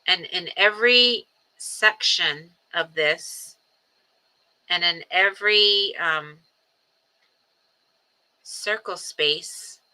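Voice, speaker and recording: A woman in her forties hosting a casual livestream, speaking in an earnest, rambling way with many ums and restarts, steady through her grief. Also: on the low side, very slow, long pauses, low-quality recording. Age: 40s. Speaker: livestream host. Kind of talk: casual reflective livestream talk.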